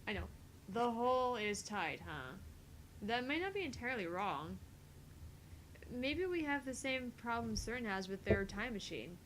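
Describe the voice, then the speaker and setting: A woman's voice: fairly high pitch, big pitch swings, unhurried, a bit bright, a little hiss or hum, rough recording. A woman doing an acted reading of dialogue from a visual novel game. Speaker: voice reader in a visual novel playthrough.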